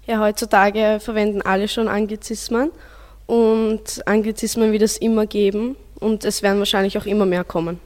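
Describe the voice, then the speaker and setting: A woman's voice: mid-range voice, flat delivery, moderate pace, a little mellow. A female teenage student answering in a radio interview. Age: teens. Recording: long hall-like reverb.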